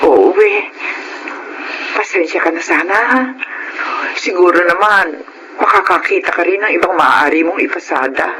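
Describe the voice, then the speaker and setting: High-pitched apologetic older tricycle owner, acted by a man. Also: moderate pace, pauses often, background noise, close to an everyday voice. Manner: gentle, apologetic. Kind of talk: Filipino radio drama acting.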